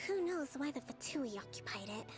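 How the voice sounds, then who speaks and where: A woman voicing a composed young priestess and strategist in a video game, polite and measured. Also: high voice, expressive. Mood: calm. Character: young female priestess and calm grand strategist. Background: faint game music.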